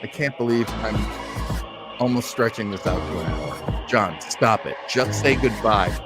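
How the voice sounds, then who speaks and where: A man's voice: on the low side, lively intonation, measured pace, loud music behind the voice. An older man hosting an old time radio show, chatting casually with live-stream listeners in a rambling, enthusiastic way.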